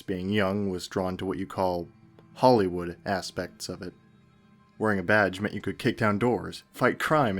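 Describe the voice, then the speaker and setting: A man reading a scripted first-person horror story in a measured way, with dramatic pauses. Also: on the low side. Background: music.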